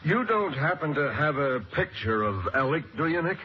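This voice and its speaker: An actor playing a plain-spoken American homicide sergeant in a 1940s radio drama, blunt and folksy. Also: male voice, on the high side, very animated, moderate pace. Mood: prodding. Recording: muffled, noisy old radio recording.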